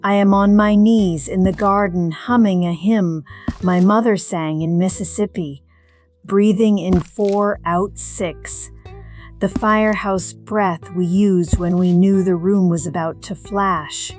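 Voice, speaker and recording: A woman playing a middle-aged retired firefighter, narrating a scripted first-person story in a measured, reflective, wry way. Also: mid-range voice, fairly even intonation, darkish tone, soft background music, lightly acted. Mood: reflective, wistful.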